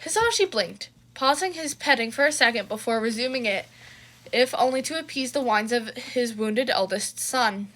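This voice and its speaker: A woman narrating fan fiction in an expressive storytelling style. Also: on the high side, normal speed.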